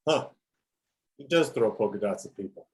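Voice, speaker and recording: A man's voice: on the low side, lively intonation, normal speed, a little mellow, slightly rough, no echo, clean studio sound. A man playing a cocky, blunt antihero soldier in a script read-through. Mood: smug.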